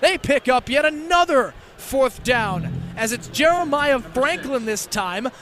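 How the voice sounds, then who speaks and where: A young man calling live American football on radio as a student broadcaster, in clipped phrases that build into excited calls. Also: very high-pitched. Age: young adult. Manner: clipped, rapid phrases rising into excited shouts. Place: football stadium broadcast position. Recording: clear outdoor stadium noise behind.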